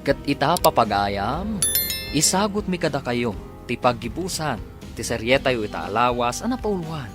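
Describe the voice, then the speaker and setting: A man's voice: mid-range voice, very animated, normal speed, over music. A man with an Ilocano accent announcing a radio drama in a formal, declamatory way.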